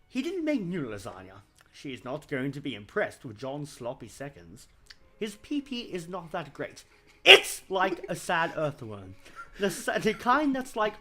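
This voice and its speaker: A man's voice: a little high, pushed and bright. A man narrating a comedic story reading in a theatrical, mock-dramatic manner. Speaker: fan fiction reader.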